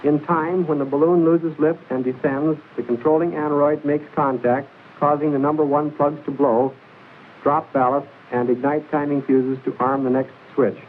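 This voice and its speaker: A man narrates an old military training film in a formal, measured, precise instructional style, reading from a script. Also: on the high side, level tone, narrow, tinny audio. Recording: hissy band-limited 1940s film soundtrack.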